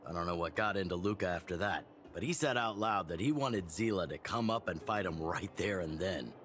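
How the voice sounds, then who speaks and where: A man voicing a genial middle-aged town chief and mentor in a game, relaxed and teasing in a fatherly way. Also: low voice, brisk, a little hiss or hum. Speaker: community chief.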